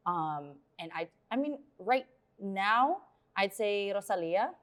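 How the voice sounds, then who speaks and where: A woman's voice: mid-range voice, animated, slow pace, a bit bright. A young woman from the Philippines, a singer answering interview questions in a friendly, hesitant way with many ums.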